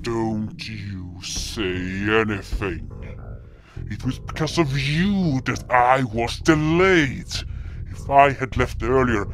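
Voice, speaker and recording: A man's voice: mid-range voice, lively intonation, slow pace. A man playing a stern, brooding beastlike guardian in a fantasy audio drama, curt and commanding. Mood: cold anger. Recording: music behind the voice.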